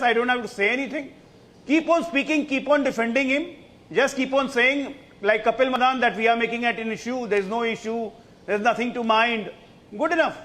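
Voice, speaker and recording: A man's voice: very high-pitched, fairly bright tone. A man with an Indian accent arguing politics as a panellist on a TV news debate, in an indignant, emphatic and scornful way.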